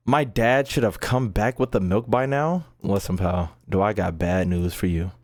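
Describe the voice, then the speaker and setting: A man's voice: on the low side, fairly even intonation, a little slow. A man livestreaming a video game, chatting casually with slang and swearing, often repeating himself and stumbling over words. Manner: stumbles often when reading aloud; slangy, profane, repeats phrases emphatically. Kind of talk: casual livestream commentary, reading game text aloud and chatting.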